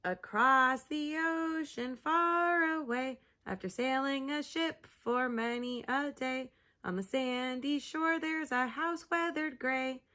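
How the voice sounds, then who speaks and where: Storybook mother dog, barking, kindly, slow sing-song, high bright voice, woman doing the voice. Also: full character voice.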